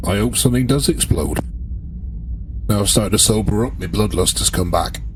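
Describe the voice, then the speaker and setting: A man's voice: on the low side, normal speed, noisy background. A man chatting casually as a player in a tabletop roleplaying game, dipping in and out of character.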